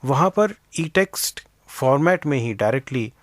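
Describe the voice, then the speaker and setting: A man's voice: medium pitch, expressive, normal speed, no room sound, clean studio sound. A man giving explanatory interview answers as an expert guest, mixing English technical terms into his speech.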